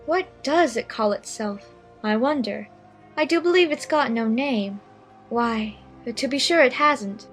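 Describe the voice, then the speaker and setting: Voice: mid-range voice, unhurried, fairly bright tone, faint music behind, voice pushed up, close to an everyday voice. A narrator voicing a curious, chatty little girl who muses to herself in a children's audiobook. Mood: puzzled. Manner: musing aloud to herself.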